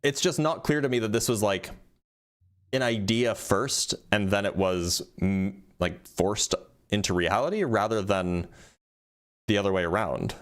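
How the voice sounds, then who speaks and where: A man with a low-pitched voice hosts a casual debate. He questions his caller patiently and explains things at length, often restarting his sentences. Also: measured pace. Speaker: debate host.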